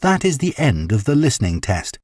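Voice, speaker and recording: A man's voice: mid-range voice, sing-song, normal speed, a little mellow, no echo. A man reading formal exam instructions as a test announcer. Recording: dry studio recording.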